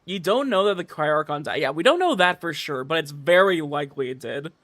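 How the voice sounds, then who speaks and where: A man's voice: on the high side, animated, fairly quick, a bit bright, dry recording. A man streaming a game, chatting casually with viewers and thinking aloud as he goes. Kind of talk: casual livestream chat over gameplay. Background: game music.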